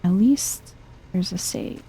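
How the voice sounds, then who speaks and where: A soft-spoken woman giving chill, casual game commentary in an ASMR vibe, murmuring as if to herself. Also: takes it slowly, low-quality recording. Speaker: gameplay streamer.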